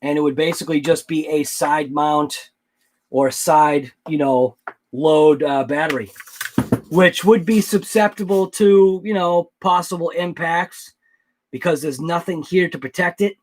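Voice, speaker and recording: A man's voice: a little high, a little slow, a little mellow. A man hosting a casual late-night livestream about RC cars, thinking aloud and answering live chat. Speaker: RC hobby channel host.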